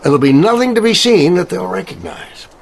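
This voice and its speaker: An actor playing an excitable, boastful Victorian gentleman inventor in a radio mystery drama. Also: sits high, brisk, vocal fry, a little hiss or hum. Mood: boastful, eager.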